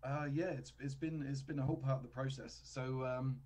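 A man with an English accent from Cambridge, a podcast guest chatting on a video call with a headset, relaxed and self-deprecating. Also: mid-range voice, a little fast. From England. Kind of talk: casual chat on a video call.

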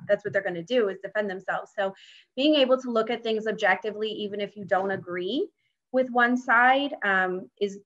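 A woman's voice: mid-range voice, fairly bright tone. An American woman in her thirties explaining her work as a panelist on a video call. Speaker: panelist, mediator. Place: indoors, home dining room. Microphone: video call computer microphone. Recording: dull webcam video-call audio.

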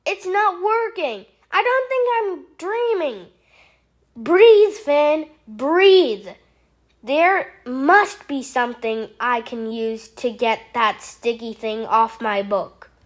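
A woman's voice: high voice, animated, slow. A woman voicing a fussy, tidy frog who is dramatic and easily horrified, in a theatrical children's storybook read-aloud. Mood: anxious. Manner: theatrical, exclaiming.

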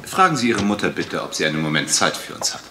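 A probing, low-voiced gentleman detective. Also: big pitch swings, fast talker, background noise. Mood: polite, probing. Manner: insinuating, politely provocative questioning. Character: probing, relentless English gentleman detective, politely pushy. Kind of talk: German radio crime drama acting.

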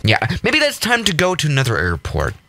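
A young man hosts a gaming video with casual, joking commentary. His voice is fairly high and very bright, swinging widely in pitch as he plays up sarcasm and mock exasperation. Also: huge pitch swings, fairly quick, a little hiss or hum. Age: young adult. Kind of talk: casual, jokey gameplay commentary.

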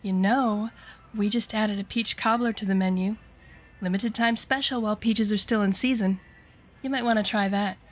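A woman's voice: medium pitch, fairly even intonation, normal speed, lightly acted. A woman playing a friendly, upbeat diner waitress in an audio drama, with chirpy customer-service patter. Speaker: audio roleplay actor.

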